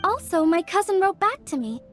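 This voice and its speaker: This is a cheerful young female artisan from a video game. She sounds sweet and eager, chatting in a high, light voice. Soft music plays faintly underneath. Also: big pitch swings, brisk, exaggerated voice. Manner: sweet, upbeat sales patter.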